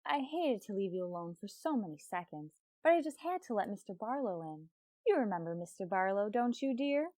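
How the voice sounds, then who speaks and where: An actress playing a wry, lovelorn schoolteacher with deadpan, sarcastic wit in an old-time radio comedy. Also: female voice, mid-range voice, brisk, no echo, close to an everyday voice. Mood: falsely sweet.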